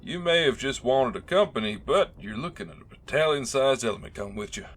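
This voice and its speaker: A man's voice: medium pitch, animated, a little fast, lightly acted. A man voicing a blunt, foul-mouthed, exasperated male soldier in an audiobook, with a growling, grumbling delivery. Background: faint music.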